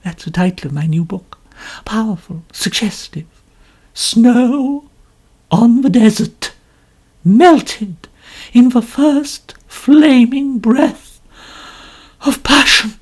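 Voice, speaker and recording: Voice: mid-range voice, takes it slowly, pauses often, husky, voice pushed up. A narrator putting on a gushing, pompous lady novelist. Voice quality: complaining, melodramatic.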